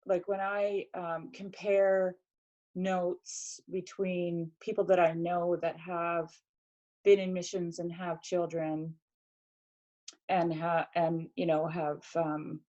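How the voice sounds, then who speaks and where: A middle-aged woman talks things through in a relaxed, casual way, pausing often and restarting her sentences. Her voice is slightly low and mellow. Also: highs cut off. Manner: reflective, hesitant, many fillers and restarts. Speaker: podcast guest. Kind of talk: casual conversation.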